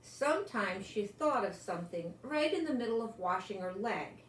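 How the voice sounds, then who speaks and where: Older female storyteller for children's read-aloud; lively pitch, expressive, chatty asides, roomy home sound with faint noise. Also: normal speed. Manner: expressive, lingering on words, talking as to small children. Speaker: storyteller reading a picture book aloud.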